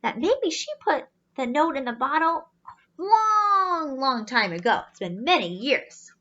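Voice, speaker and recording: Animated, high-voiced woman reading to children. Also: unhurried, lo-fi sound. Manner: expressive, explanatory, wondering aloud. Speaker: children's storybook reader. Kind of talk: animated read-aloud with asides to young listeners.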